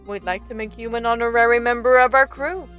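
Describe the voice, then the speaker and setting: A woman's voice: fairly high pitch, steady tone, fairly quick, lo-fi sound, faint music behind, close to an everyday voice. A woman voicing a shrewd but kind older female pirate captain, speaking in a wry, confident, teasing way, in a cartoon dub. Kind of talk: fan comic dub acting.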